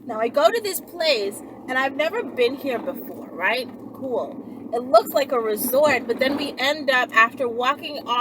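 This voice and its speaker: A woman vlogging in a casual, chatty storytelling style, blunt and slangy, with animated asides. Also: a little high, noisy background. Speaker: vlogger and channel host.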